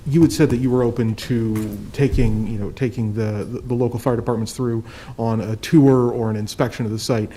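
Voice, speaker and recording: A man's voice: mid-range voice, fairly even intonation, fairly quick, warm tone, husky, low-quality recording. A man on a county board who says he is losing his voice, speaking earnestly with frequent restarts at a public hearing. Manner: earnest, repeats himself, stumbles. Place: county board meeting room.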